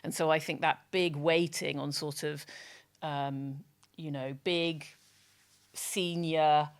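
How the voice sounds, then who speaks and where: A woman with a loud voice speaking frankly and emphatically as a brand strategy guest in a podcast interview, often restarting her sentences. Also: sits low, fairly even intonation, slow, smooth. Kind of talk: candid podcast interview talk.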